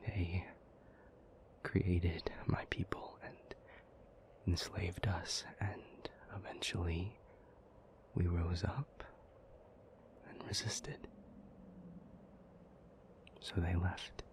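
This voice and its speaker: A man playing an ancient, somber, thoughtful demon in an intimate audio roleplay, telling old myths in a breathy, hushed, halting voice with long pauses. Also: sits low, level tone, exaggerated voice. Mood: solemn storytelling. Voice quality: breathy, whispery.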